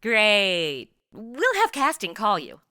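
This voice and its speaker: Brash American superheroine: flippant, irreverent, lively swooping delivery, dry close sound, comic radio play. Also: female voice, medium pitch, slow pace, no echo, exaggerated voice. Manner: sarcastic, irreverent, breezy. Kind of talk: comedy audio drama acting.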